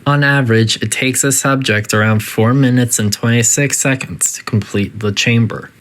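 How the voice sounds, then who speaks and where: A synthetic voice playing a politely detached, quietly menacing artificial intelligence that makes formal, deadpan announcements in a video game test facility. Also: medium pitch, moderate pace, natural voice. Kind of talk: scripted game announcer narration.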